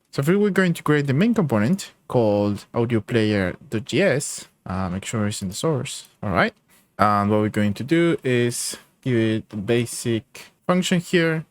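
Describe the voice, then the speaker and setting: A man around his thirties explaining things step by step in an informal tutorial style as he works. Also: medium pitch. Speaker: coding tutorial host. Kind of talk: casual instructional screencast narration. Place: small indoor room at a desk.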